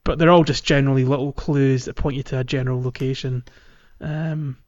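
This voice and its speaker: Male podcast co-host, mid-pitched, casual gaming explainer, slightly hesitant, muffled lo-fi recording. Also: steady tone, brisk, a little mellow. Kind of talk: casual video game chat, explaining quests. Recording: dull, lo-fi sound.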